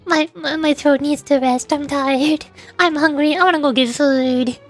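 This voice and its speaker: High-pitched playful woman streamer. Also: fairly even intonation, moderate pace, faint music behind. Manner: playful, theatrical. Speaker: vtuber streamer. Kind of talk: casual livestream chat over gameplay.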